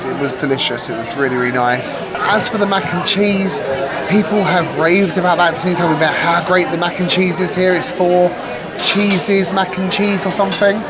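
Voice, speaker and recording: A woman vlogging a casual food review, chatty and frank with a light joking touch. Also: sits low. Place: busy restaurant. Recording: loud crowd chatter behind. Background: restaurant crowd chatter.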